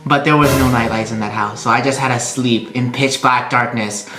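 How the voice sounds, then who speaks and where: A woman's voice: extremely low pitch, fairly even intonation, a little fast, a bit bright, faint music behind. A young woman chatting casually as she tells a story to friends, animatedly acting out quoted dialogue. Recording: roomy echo.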